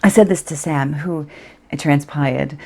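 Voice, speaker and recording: A woman playing a wistful, frank young woman in a stage monologue, speaking intimately and reflectively with deliberate pauses. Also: low voice, darkish tone.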